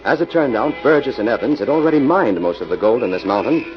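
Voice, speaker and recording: A man playing a confident radio detective hero from the 1940s, with a lively, swinging pitch and a wry way of explaining things. The old broadcast recording is muffled, with music in it. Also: quick pace, close to an everyday voice. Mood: calm, explaining. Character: Jim, confident crime-solving hero, wry and calmly explaining.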